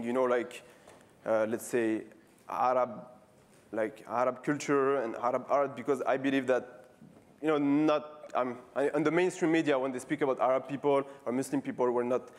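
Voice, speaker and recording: A man in his thirties with a French accent, giving a casual conference talk on stage, halting often with fillers and restarts. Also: medium pitch. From France. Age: 30s. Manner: casual, friendly, repeating words. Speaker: street artist giving a talk. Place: stage before a live audience.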